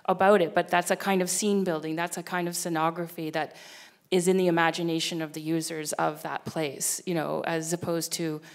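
A woman panelist with a low voice talks on a stage into a handheld mic. She keeps a fairly flat, even tone and circles through her points, full of fillers and restarts. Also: a little fast. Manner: thoughtful, circling with many fillers. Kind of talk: round-table discussion. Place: large dark stage with audience.

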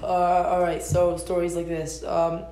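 A man's voice: high-pitched, monotone, measured pace, noisy background. A young man playing a rambling land seller, echoey room. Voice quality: hesitant, full of ums and uhs. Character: easygoing adult male landowner selling property. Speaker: actor in a school play. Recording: echoey room with music behind.

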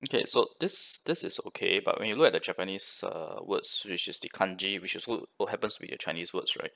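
Low, creaky-voiced man commentating. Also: fairly quick, a bit bright. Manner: analytical, conversational. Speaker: gaming reaction video host. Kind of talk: casual commentary.

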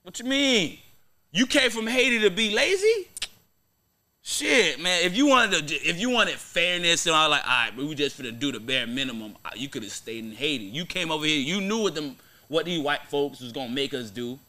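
A man's voice: fairly high pitch, lively intonation, moderate pace, pushed and bright. A young American man hosting a podcast, talking in casual, slangy, profane banter and arguing his points. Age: young adult. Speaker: podcast host. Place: small podcast studio, indoors. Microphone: close boom-arm microphone.

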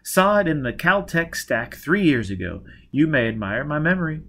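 Male narrator as shady surgeon, self-satisfied, avid collector, persuasive, musing, animated intonation, audiobook villain. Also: a little high, normal speed, a little hiss or hum, voice pushed up. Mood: musing, pleased. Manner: smooth-talking, persuasive, musing. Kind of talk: audiobook character voice.

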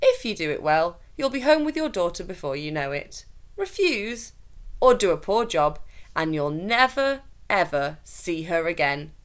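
A woman voices a haughty lord, the villain of a children's storybook. He is smooth and sinister, handing down orders with cold superiority. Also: on the low side, sing-song, measured pace. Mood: commanding, menacing.

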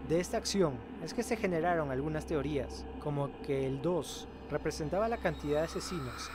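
A man's voice: on the high side, brisk, background noise. A man reading scripted narration for a horror analysis video. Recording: music under the voice. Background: music.

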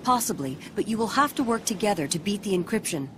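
A woman playing a composed, formal young female Vulcan scientist in a sci-fi game, speaking in a measured, precise way. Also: medium pitch, expressive, noisy background, lightly acted. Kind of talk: scripted sci-fi game acting. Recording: music loud under the voice.

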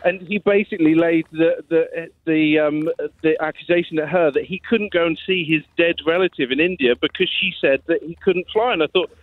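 A man's voice: a little high, fairly quick, hardly any pauses, no echo. A man calling a radio phone-in, talking earnestly and hesitantly, apologetic and often repeating himself. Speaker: phone-in caller. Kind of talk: casual, earnest phone-in conversation. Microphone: telephone. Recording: tinny narrow-band phone line.

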